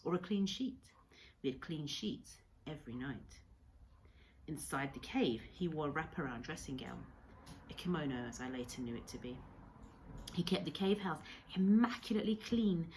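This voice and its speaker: Female story reader, low voice, measured and expressive teacher-style narration, noticeable background noise. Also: low-quality recording. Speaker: primary school teacher reading a story to pupils. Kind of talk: read-aloud storybook narration.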